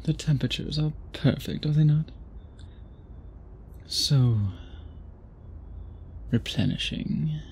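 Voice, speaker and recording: A man playing a centuries-old vampire suitor in an intimate ASMR audio drama, speaking in formal, archaic, deliberate phrases with a breathy, husky voice. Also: on the high side, darkish tone, a little hiss or hum. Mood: tender, teasing. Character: centuries-old male vampire suitor, possessive, courtly, brooding.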